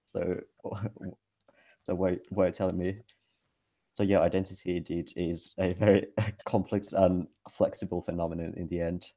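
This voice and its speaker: Low gravelly young man on a video call. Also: flat delivery, warm tone. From Finland. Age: 20s. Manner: halting, many restarts, wry laughs. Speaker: webinar panelist. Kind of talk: casual discussion. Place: indoor room with bright ceiling light. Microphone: video call webcam.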